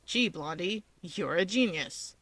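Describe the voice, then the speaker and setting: Casting: big gruff young male guild mage read by a woman, terse, introspective, secretly tender, story narration, dry close recording. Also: on the low side, lively intonation, takes it slowly, a bit bright, no room sound, heavily acted. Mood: dry, teasing.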